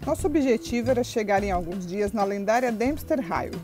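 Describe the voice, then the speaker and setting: A woman's voice: medium pitch, expressive, a little fast, loud music behind the voice. A Brazilian woman with a Brazilian Portuguese accent narrating a travel vlog in a cheerful, easy manner. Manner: cheerful, laughs often while filming.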